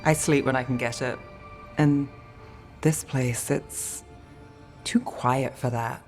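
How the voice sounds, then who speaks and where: A woman's voice: a bass voice, steady tone, slow pace, a little mellow, faint music behind. A woman playing a wry, world-weary detective in a video game, speaking in a dry, understated way. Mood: tired, guarded. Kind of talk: video game character acting.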